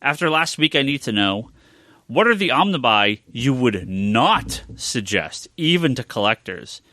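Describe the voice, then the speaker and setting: Man, podcast host, lively swooping pitch, forceful bright tone, close dry sound, casual joking banter. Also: measured pace, dry recording. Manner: animated, joking, swears casually. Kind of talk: casual comic book podcast chat.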